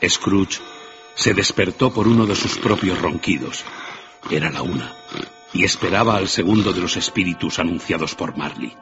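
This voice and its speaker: A man's voice: deep voice, animated, moderate pace, dull audio. A man narrating a classic tale as a dramatic scripted radio story. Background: music.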